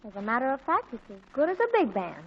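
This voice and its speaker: Eager teen girl, high and bubbly, earnest; dull old radio sound. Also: fast, slightly rough, exaggerated voice. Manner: earnest, excitable, wheedling. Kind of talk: 1940s radio acting.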